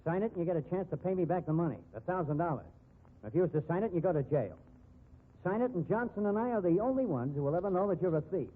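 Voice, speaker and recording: Sneering crooked investigator, tinny old radio. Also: male voice, high voice, lively intonation, fast. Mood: bullying. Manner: hectoring, sneering, slangy tough-guy talk. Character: crooked bullying male private investigator, sneering blackmailer. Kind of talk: 1950s radio drama acting. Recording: tinny band-limited 1950s radio recording.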